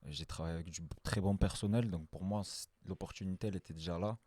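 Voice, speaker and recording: Young guy in his twenties, very low mellow voice, easygoing and plain-spoken. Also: quick pace, slight background noise. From France. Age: 20s. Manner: relaxed, simple, with fillers. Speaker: guest, hairdresser. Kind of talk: casual interview answers.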